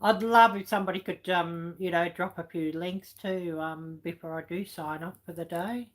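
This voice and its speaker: A woman in middle age chats away on her livestream, her voice croaky and a touch low. She rambles, filling pauses with ums and you knows, as she answers her viewers. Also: steady tone. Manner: rambling with many fillers, chatty. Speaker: livestream host reading chat. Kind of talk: casual chat.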